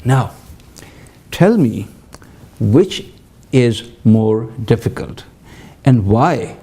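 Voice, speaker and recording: A man's voice: mid-range voice, animated, drawn-out words, warm tone, slightly rough. A male TV host questioning a guest, emphatic and drawn out as he lays out numbers. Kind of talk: TV interview questioning.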